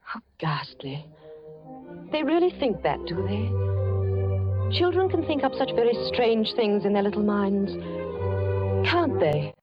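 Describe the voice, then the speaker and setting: An actress playing a polite, curious young woman in a 1940s radio drama, gracious and gently probing. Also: medium pitch, wildly swooping pitch, slow pace, pauses often. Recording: dry, dull 1940s radio recording with faint music.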